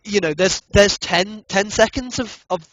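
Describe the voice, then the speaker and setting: A man's voice: high-pitched, unhurried, fairly bright tone, no room sound, low-quality recording. A young man co-casting an esports match, eager and prone to repeating himself. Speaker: esports caster. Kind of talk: game commentary.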